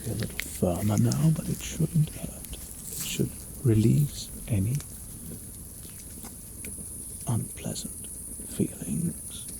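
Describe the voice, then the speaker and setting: A man playing a gentle, caring mage in an ASMR fantasy roleplay, speaking softly and soothingly and often dropping toward a whisper. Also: mid-range voice, expressive, slow, vocal fry, noisy background. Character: gentle, caring male mage healing a weary traveler. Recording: faint nighttime cricket ambience.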